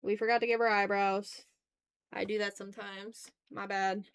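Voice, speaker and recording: A woman's voice: medium pitch, flat delivery, normal speed, smooth, no room sound. A woman chatting casually as she draws on a livestream, teasing her viewers with playful mock exasperation. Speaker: art streamer. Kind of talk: casual livestream chat while drawing.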